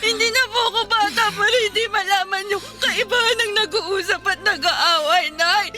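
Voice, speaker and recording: A woman's voice: very high voice, moderate pace. A woman acting a frightened, tearful young daughter in a Filipino radio drama, begging through sobs. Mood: tearful, reproachful. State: crying. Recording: music behind the voice.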